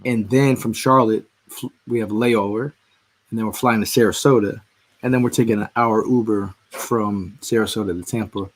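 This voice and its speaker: A man's voice: lowish voice, steady tone, normal speed, rough recording. A man hosting a casual sports podcast, rambling with restarts, his voice just recovering after he lost it. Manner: rambling, frequent restarts and fillers. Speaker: podcast host.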